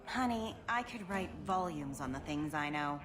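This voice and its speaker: A woman's voice: low-pitched, expressive, moderate pace, a crowd in the background. A woman playing a worldly, saucy brothel madam in a video game, with a wry, knowing, familiar manner.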